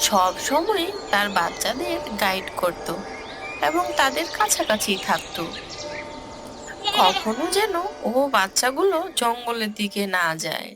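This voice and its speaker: Slow, animated woman storyteller over music. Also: fairly high pitch. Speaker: storyteller, narrator. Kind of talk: scripted children's story narration.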